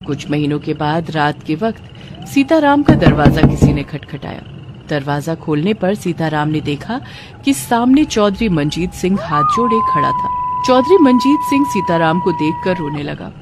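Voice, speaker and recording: A woman's voice: on the low side, wildly swooping pitch, normal speed, heavy background noise. A woman narrating a scripted cartoon story as a storyteller.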